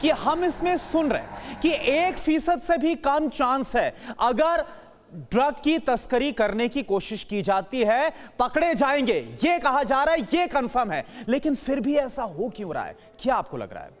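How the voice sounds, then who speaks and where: A woman's voice: high-pitched, sing-song, a little slow. A woman news anchor putting long, emphatic questions to a guest in a live TV interview.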